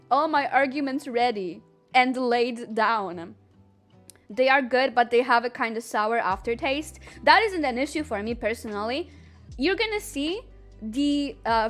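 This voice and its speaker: Young woman streamer chatting w her viewers, kinda high voice, laughs a lot, quiet music in the back. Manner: chatty, laughs often, earnest about rankings. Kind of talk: casual live-stream chat with viewers.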